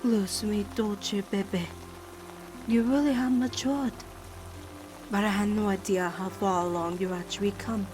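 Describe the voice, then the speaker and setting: A woman's voice: medium pitch, fairly even intonation, unhurried, background noise, lightly acted. Woman as a loving, worried middle-aged mother. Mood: proud, tender. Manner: reflective, anxious, tender. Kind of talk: animated-series comic dub monologue.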